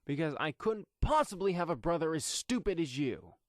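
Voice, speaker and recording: Angry brother for superhero story read by a man: tense, scornful male, loud and higher-pitched, very animated delivery. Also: moderate pace, no echo, clean studio sound, natural voice. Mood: exasperated, scornful. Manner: agitated, scornful, loud outbursts. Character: hot-tempered, tense brother of a young vigilante.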